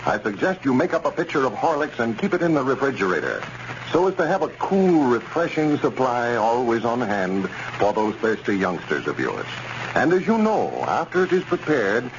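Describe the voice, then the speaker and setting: An American man announcing in formal, measured 1930s radio style, reading scripted copy. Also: medium pitch, sing-song. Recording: muffled, noisy 1930s radio recording.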